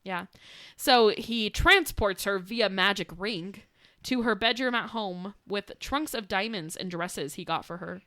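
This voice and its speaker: A woman's voice: medium pitch, measured pace, sharp tone, no room sound, clean studio sound. A young woman co-hosting a podcast, casually retelling a fairytale with sarcastic asides, laughter and quotes acted out in voices. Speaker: podcast co-host. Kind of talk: casual storytelling chat.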